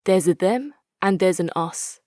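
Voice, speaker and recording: An actress playing a hard-nosed, articulate female military commander in a strategy game, speaking in a measured, wry, authoritative way. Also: on the low side, warm tone, no room sound, studio quality, lightly acted. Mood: firm. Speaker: video game faction leader. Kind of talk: dramatic scripted game quotes.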